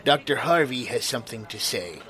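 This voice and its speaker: Man playing a florid, thirsty smooth talker. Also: medium pitch, animated, fairly quick, bright, forceful tone. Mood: glib, persuasive. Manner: florid, insistent, mock-courteous. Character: smooth-talking thirsty drinker, self-appointed spokesman for his companion. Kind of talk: improvised audio comedy.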